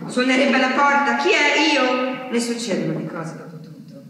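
An actress playing an anguished wife awaiting her husband's return from war, delivering a theatrical diary monologue in halting, deliberate fragments. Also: high-pitched, very animated, fairly bright tone. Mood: anxious, agitated. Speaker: stage actress performing a monologue. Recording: very echoey hall, distant mic, noisy.

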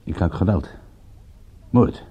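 A man playing a world-weary, tender private detective in a radio drama, speaking in a measured, intimate way. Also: lo-fi sound, natural voice. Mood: probing, uneasy. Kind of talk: radio drama acting with first-person narration.

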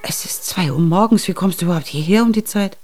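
A woman's voice: on the low side, fast talker, a little mellow, faint music behind, close to an everyday voice. An actress playing an anxious, indignant mother of middle age or older in a radio drama, agitated and reproachful in manner. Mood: alarmed, indignant. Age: middle-aged or older. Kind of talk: German radio drama acting.

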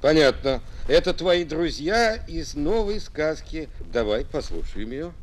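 Lively, higher-pitched male children's host. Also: unhurried. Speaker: host and interpreter. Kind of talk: scripted children's audio magazine interview.

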